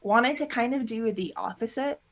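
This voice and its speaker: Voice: medium pitch, moderate pace, fairly bright tone, a little hiss or hum. A woman speaking casually as an interview guest, explaining audio drama production in a rambling way with quoted asides. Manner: rambling, with self-quoting asides.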